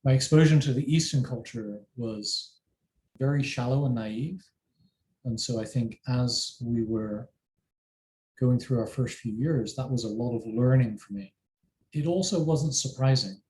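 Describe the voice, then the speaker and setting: A middle-aged man with an English accent, a parent answering interview questions in a thoughtful, measured way. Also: mid-range voice, a little mellow. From England. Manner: thoughtful, measured, self-deprecating. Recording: roomy with faint ambient noise.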